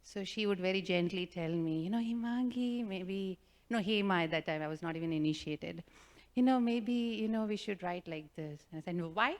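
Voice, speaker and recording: A woman telling a devotional group a personal story, animated and self-mocking, with a loud voice and frequent laughter. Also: lowish voice, moderate pace. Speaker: devotional speaker. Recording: reverberant hall.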